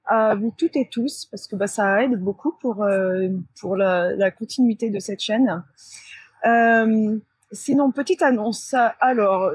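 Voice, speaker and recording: A woman with a slightly husky voice talks casually to viewers, explaining things in a halting way with self-corrections. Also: a little high, darkish tone. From France. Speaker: channel host and spiritual coach. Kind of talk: casual vlog talk to viewers. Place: outdoors beside a large tree near a road.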